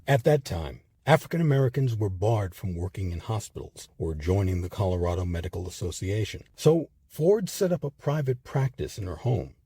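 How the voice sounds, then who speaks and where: Deep, warm-voiced male narrator. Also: slightly rough. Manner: measured, expressive storytelling. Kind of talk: scripted documentary narration.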